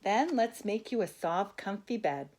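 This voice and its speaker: A woman's voice: mid-range voice, lively intonation, measured pace, a little mellow. A woman reading a storybook as a friendly male caterpillar, a caring and encouraging best friend.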